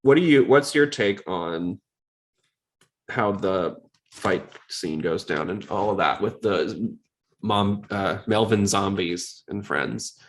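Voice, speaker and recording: A man with a lowish voice hosts a casual podcast. He talks in a chatty, rambling way, circling around his points and joking as he goes. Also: animated, slow. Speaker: podcast host. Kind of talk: casual chat.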